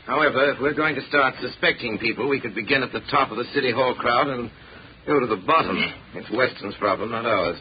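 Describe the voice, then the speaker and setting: A man's voice: medium pitch, fairly quick, close to an everyday voice. An actor playing a suave, unruffled man about town, urbane and dry, in 1930s radio style. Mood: urbane, composed. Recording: dull, noisy 1930s radio recording.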